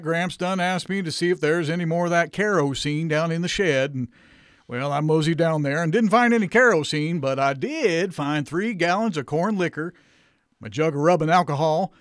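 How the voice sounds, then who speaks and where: An actor playing a hungover, good-natured backwoods cousin in a radio comedy, rambling folksily with a Southern accent. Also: male voice, on the high side, normal speed, a bit bright, no echo, studio quality, lightly acted. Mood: rambling confession. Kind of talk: live radio comedy acting.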